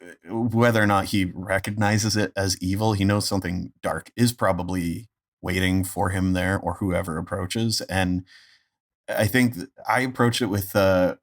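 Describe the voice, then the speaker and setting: A man with a distinctly low voice talks casually as an interview guest. He is thoughtful and halting, often restarting a phrase as he works out what he means. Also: steady tone. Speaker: podcast guest, amateur voice actor. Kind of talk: casual conversation about a performance.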